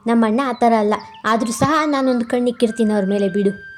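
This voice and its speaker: Woman as a touchy young husband, high-pitched. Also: fairly quick, faint music behind, close to an everyday voice. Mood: placating. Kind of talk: animated moral-story cartoon voicing.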